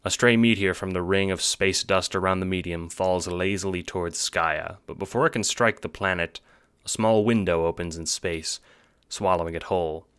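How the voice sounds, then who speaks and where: A man's voice: deep voice, steady tone, normal speed, fairly bright tone, smooth, no echo, studio quality. A man giving scripted narration for an audio book styled as a drama. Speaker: narrator, writer and producer.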